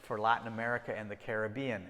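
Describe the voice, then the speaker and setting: A man's voice: on the low side, flat delivery, fast talker, pushed and bright. A middle-aged man giving a conversational mission talk to a church congregation, often restarting his sentences. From Ecuador and Peru. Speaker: missionary guest speaker. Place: church sanctuary. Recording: long hall reverb.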